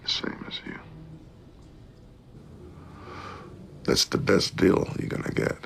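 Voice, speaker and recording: An actor playing a calm, menacing killer, speaking in a deliberate, half-whispered deadpan in a film scene. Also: male voice, close to an everyday voice. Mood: cold, final. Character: calm, menacing killer toying with his victims. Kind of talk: film acting. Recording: noisy film clip.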